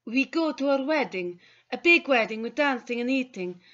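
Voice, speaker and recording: A woman's voice: high-pitched, lively intonation, moderate pace, fairly bright tone, pitched above the performer's own voice. Woman doing a worried maid in broken English. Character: housemaid speaking broken English, anxious and kind. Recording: dull lo-fi recording.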